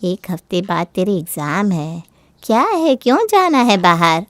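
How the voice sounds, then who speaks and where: Woman playing a clear-voiced, fretful scolding mother. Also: lowish voice, sing-song, a little slow, a bit bright, no room sound, clean studio sound. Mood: nagging. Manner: fretful, admonishing. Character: worried, scolding middle-aged Indian mother of a grown daughter.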